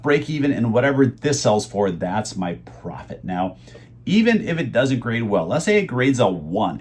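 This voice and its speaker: A middle-aged man casually rambling in a home vlog about his reseller sales, chatty and meandering with many fillers, his voice going hoarse. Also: fairly low pitch, darkish tone. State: losing his voice. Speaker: eBay reseller vlogger.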